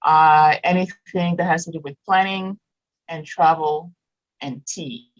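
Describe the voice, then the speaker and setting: Lowish woman's voice, grew up in morocco, french native, casual chatty guest, muffled audio. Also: steady tone, measured pace. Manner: chatty, laughs often, restarts sentences. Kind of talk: casual interview chat.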